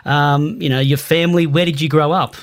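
A man's voice: fairly high pitch, steady tone, fairly quick. A man interviewing a guest, asking casual questions that halt and restart.

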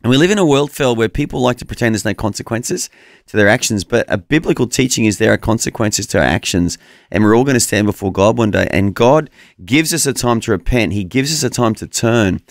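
Man, low voice, earnest preacherly tone, radio co-host, dry close mic. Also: lively intonation, fairly quick, no echo. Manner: earnest, preacherly, urging listeners. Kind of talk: Bible teaching chat.

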